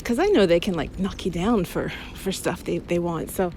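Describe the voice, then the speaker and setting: A woman's voice: on the low side, moderate pace, mellow. Woman rambling casually over noisy outdoor ambience. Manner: giggly, hesitant, trailing phrases. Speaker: audio roleplay creator playing a loving girlfriend. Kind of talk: casual rambling chat, intimate one-sided companion talk. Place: outdoors on a bike path. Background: traffic and environmental noise.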